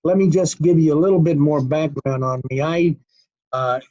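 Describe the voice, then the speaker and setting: A man in his seventies telling stories as an interview guest in a folksy, unhurried, wry manner. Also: fairly high pitch, warm tone, muffled recording. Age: 70s. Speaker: cardiologist guest. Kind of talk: conversational storytelling interview.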